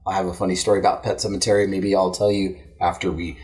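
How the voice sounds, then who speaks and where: A man's voice: sits low, flat delivery, fast, darkish tone. A man hosting a casual talk show, swearing freely and rambling as he chats and answers listener mail. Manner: profane, rambling. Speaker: show host.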